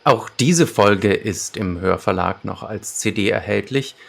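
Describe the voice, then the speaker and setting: A man's voice: fairly low pitch, unhurried, gravelly. A man co-hosting a podcast, presenting and discussing an audio drama episode. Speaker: podcast co-host. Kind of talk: scripted episode summary and discussion.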